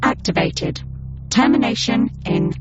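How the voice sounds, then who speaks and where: Actress doing female alien computer, slow clipped prompts, formal and emotionless, loud music behind, dull band-limited radio drama sound. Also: natural voice. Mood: impassive.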